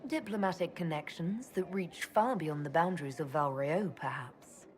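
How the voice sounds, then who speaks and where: An actress playing a composed, diplomatic leader in a video game, speaking directly and in a measured way. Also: female voice, deep voice, a little hiss or hum, natural voice. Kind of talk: video game cutscene acting.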